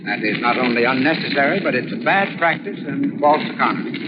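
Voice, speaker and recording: A man's voice: medium pitch, expressive, a little fast. A man reading a scripted 1930s radio sponsor message as an expert, formal and instructive. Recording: noisy, muffled 1930s radio recording.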